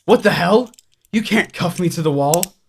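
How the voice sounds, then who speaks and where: A man's voice: high-pitched, animated, moderate pace, a little hiss or hum. An actor playing a creepy, predatory man who poses as kindly in a horror audio drama. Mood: alarmed, protesting.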